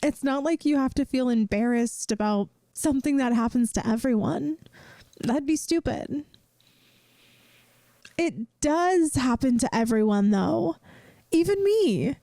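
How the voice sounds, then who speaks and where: A woman playing a caring young best friend in a soft-spoken ASMR roleplay, gentle and earnest, hesitant at times, offering reassurance. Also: high voice, lively intonation, unhurried, dry recording, studio quality. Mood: gently reassuring.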